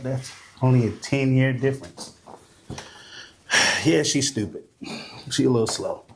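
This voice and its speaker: Slow-talking, joking man podcast host. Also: fairly low pitch. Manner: blunt, joking, laughs loudly. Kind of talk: casual chat.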